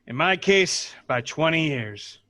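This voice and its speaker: A genial old police commissioner, acted by a man at a table read. He has a wry manner and a forceful, slightly high voice. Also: expressive, slow pace, exaggerated voice.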